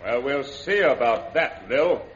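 An actor playing a domineering villain boss in an old radio serial, hard, curt and threatening. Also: male voice, medium pitch, animated, a little slow, close to an everyday voice. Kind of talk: 1930s radio serial acting. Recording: muffled old radio recording with hiss.

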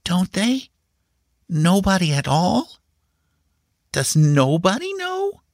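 A man's voice: sits high, huge pitch swings, slow pace, no room sound, clean studio sound, voice pushed up, heavily acted. A man voicing a timid, earnest young boy full of questions in an audiobook story. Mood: earnest questioning.

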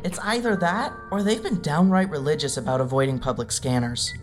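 A woman's voice: deep voice, fairly even intonation, brisk, natural voice. A woman playing a federal technical analyst in an audio drama, with a wry, rambling, informal way of talking. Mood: wry, businesslike. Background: music bed.

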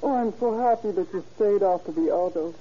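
Muffled old radio with faint noise presents an actress as a grandiose film diva. She sighs theatrically in a very mellow, dark voice, full of affected self-pity. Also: mid-range voice, fairly quick, close to an everyday voice. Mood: lovelorn, melodramatic. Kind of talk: old-time radio acting.

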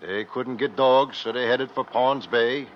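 An actor playing a gruff, cautious northern seaman who speaks simple broken English in a radio drama. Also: medium pitch, unhurried, natural voice. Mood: wry, plain. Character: gruff, cautious male northern outpost seaman and ice guide. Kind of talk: old-time radio drama acting. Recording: muffled, hissy vintage radio recording.

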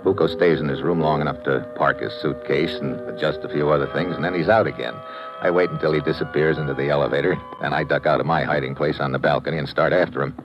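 An actor playing a wry, tough American government agent in a clipped, wisecracking old-time radio detective style. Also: male voice, rumbling low, fairly even intonation, fast talker. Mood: dry, matter-of-fact. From United States. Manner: clipped, wisecracking, hard-boiled. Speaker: lead actor and narrator. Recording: muffled vintage radio recording, music behind.

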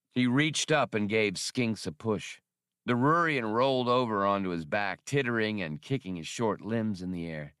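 A man's voice: lowish voice, fairly bright tone, dry recording, studio quality. A man narrating a fiction audiobook in a scripted storytelling style.

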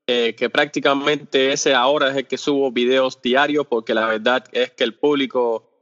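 A man from Cuba with a Cuban accent, a podcast guest talking casually and haltingly, often pausing on fillers and restarting his sentences. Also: mid-range voice, steady tone, fairly bright tone. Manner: hesitant, searches for words with many fillers and restarts. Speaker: podcast guest, off-road video creator. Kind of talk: casual interview talk. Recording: dull lo-fi sound with long reverb.

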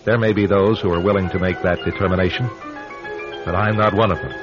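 A man hosting a radio drama with solemn, rhetorical scripted narration, measured and dramatic. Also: sits low, fairly even intonation. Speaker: radio drama host and announcer. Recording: dull lo-fi radio recording with music.

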